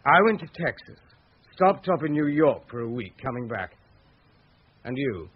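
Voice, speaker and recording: A man's voice: medium pitch, very animated, normal speed, slightly rough. A man acting in a radio drama as a suspicious, obsessive husband, a pedantic researcher who questions insistently. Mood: suspicious, pressing. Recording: muffled lo-fi radio drama recording.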